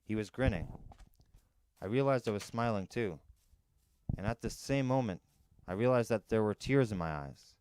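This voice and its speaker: A man's voice: lowish voice, lively intonation, moderate pace, long pauses, darkish tone, slight background noise. A man reading a novel aloud as a first-person storyteller. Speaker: narrator, podcast storyteller.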